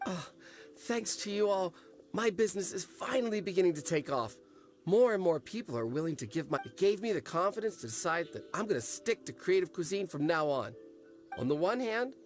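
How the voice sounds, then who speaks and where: Eager young stall owner. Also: male voice, high-pitched, expressive, fairly quick, soft background music. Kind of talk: video game voice acting.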